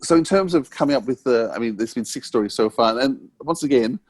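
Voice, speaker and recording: A man hosting a podcast, chatting casually and rather haltingly as he rephrases his thoughts. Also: mid-range voice, expressive, darkish tone, no room sound. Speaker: podcast host. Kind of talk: casual interview chat.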